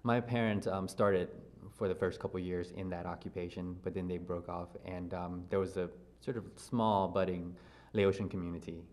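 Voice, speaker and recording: Deep-voiced man telling his family story. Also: normal speed. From grew up in Louisiana, USA. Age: 30s. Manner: relaxed, thoughtful, many ums and restarts. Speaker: interview guest. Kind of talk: casual interview.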